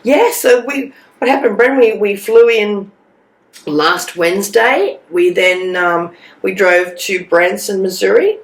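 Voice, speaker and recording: Croaky Australian woman with a cold. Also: medium pitch, lively intonation, slow. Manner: chatty, rambling, warm asides. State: sleep-deprived. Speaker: co-host. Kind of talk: casual chat. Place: dark hotel room. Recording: echoey hotel room.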